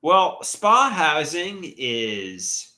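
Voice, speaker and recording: Brief: congested male streamer, bright lively voice, rambling delivery, roomy echo. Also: on the high side, extremely slow. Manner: rambling, hesitant, trails off mid-thought. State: stuffy, congested nose. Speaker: livestream host. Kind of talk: casual livestream chat, reading comments aloud.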